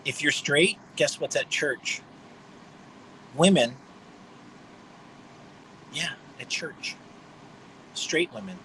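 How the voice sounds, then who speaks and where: Fifty-something guy w a high bright voice, livestreaming, blunt and mocking, rambles on, faint hum behind. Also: drawn-out words, long pauses, low-quality recording. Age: 50s. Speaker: livestream host.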